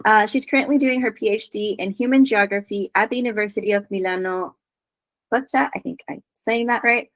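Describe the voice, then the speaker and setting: A woman's voice: medium pitch, fairly quick, dry recording. A woman with a mid-range voice hosts an event, reading out an introduction. The recording is very dry, without any room sound. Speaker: host introducing the next artist.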